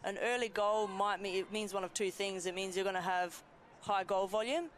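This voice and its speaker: A woman's voice: medium pitch, steady tone, normal speed, slight background noise. A woman, a goalkeeper, giving chatty, self-deprecating match commentary with frequent laughter at an outdoor stadium. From Greek background.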